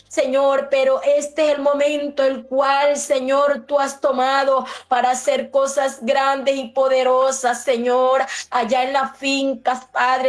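A woman prays aloud over the radio in a fairly high voice. She keeps to a narrow, even tone and a slow, deliberate pace, piling up short invocations one after another. The delivery is fervent and devotional. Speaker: radio prayer leader.

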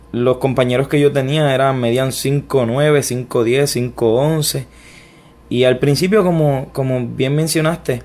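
A man's voice: mid-range voice, a little mellow. A Puerto Rican man in his young adult years recounts his life to an interviewer. His speech stumbles and restarts often. He pokes fun at himself as he goes. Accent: Puerto Rican Spanish. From Puerto Rico. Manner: hesitant, frequent restarts, self-deprecating. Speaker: interview guest. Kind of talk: personal testimony interview.

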